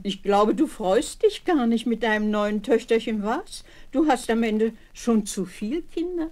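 A woman's voice: fairly high pitch, animated, unhurried, darkish tone. An actress playing a playful, affectionate old grandmother in a seventies radio play, with a fond, teasing manner. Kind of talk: 1970s radio play acting.

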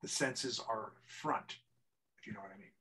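Audience man, husky, tense-bright tone, long-winded and appreciative. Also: mid-range voice, normal speed. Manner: wordy and appreciative. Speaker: audience member. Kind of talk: casual comment on video call. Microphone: video call.

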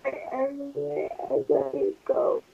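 A woman's voice: high-pitched, wildly swooping pitch, a little slow, narrow, tinny audio, heavily acted. A woman playing a whiny, clingy young girlfriend who is hurting, in an amateur ASMR couple roleplay, on played-back video audio. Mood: whiny, pained.

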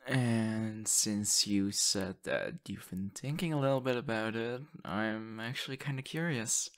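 A man playing an excitable, affectionate young boyfriend in an intimate ASMR audio roleplay, talking haltingly and teasing playfully. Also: on the low side. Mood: fond, curious. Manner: halting, repeats words, breathy laughs and sighs.

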